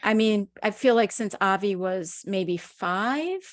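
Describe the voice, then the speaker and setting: A woman's voice: medium pitch, takes it slowly, dry recording, clean studio sound. A woman hosting a podcast, speaking earnestly and effusively, lingering on words of praise as she introduces her guest. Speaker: podcast host. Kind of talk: heartfelt interview introduction.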